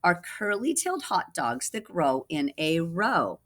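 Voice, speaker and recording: A storybook wise man, played by a woman reading aloud, pompous and fussy. The delivery explains things grandly in rhyme, with very lively swings in pitch. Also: unhurried, exaggerated voice. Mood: knowing.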